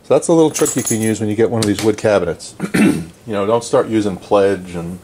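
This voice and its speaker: Chatty man with a low voice. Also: fairly quick, slight background noise. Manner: relaxed, chatty, frequent "okay?" and "um". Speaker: vintage radio restorer. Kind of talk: casual hands-on repair tutorial. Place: indoor workshop.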